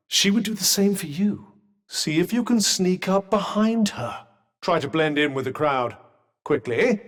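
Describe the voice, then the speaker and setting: A man's voice: mid-range voice, in a large hall, close to an everyday voice. A British man voicing a witty, haughty magical talking vambrace in a video game, with pompous, droll and sardonic delivery. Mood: urging. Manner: pompous, droll, erudite wording. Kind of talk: video game character dialogue.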